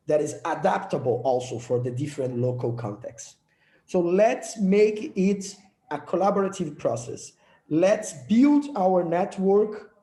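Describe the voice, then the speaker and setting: A man's voice: sits high, mellow, echoey room. A young man from Brazil with a Brazilian Portuguese accent, giving an earnest prepared presentation as project leader, with small slips and restarts.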